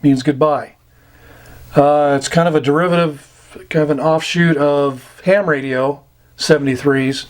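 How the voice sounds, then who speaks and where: An American man giving an informal instructional talk, plain-spoken and pausing between short phrases. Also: a little high, fairly even intonation. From United States. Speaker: amateur radio instructor. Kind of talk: informal slide lesson explaining radio slang.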